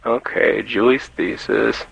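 A man working as a support agent, speaking politely and a little haltingly on a service call. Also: fairly low pitch, gravelly, fairly bright tone, slightly rough, no echo. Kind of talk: customer service phone call. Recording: dull, dry call recording.

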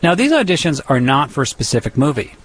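A man narrating a radio news feature in a measured, conversational way. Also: medium pitch, big pitch swings. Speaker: radio reporter.

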